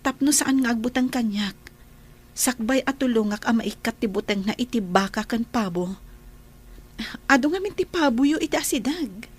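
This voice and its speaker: A woman playing a gentle young woman who cares for a frightened child, polite and soft-spoken. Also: fairly high pitch, animated, moderate pace, slightly rough. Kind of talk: radio drama acting.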